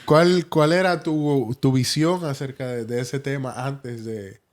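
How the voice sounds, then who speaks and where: A man at a medium pitch. He hosts a podcast, steering a casual group discussion. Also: steady tone, measured pace. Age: 20s.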